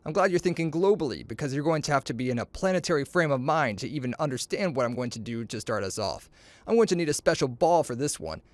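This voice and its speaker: A man reading a children's story aloud, acting out the dialogue in an expressive, playful, boastful way. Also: fairly high pitch, fast, no echo.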